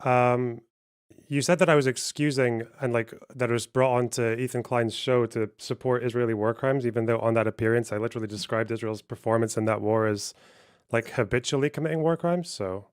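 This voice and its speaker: A man's voice: medium pitch, steady tone, fairly quick, clear, resonant tone. A man hosting a heated online debate from his stream, combative and pressing, often cutting in to demand answers.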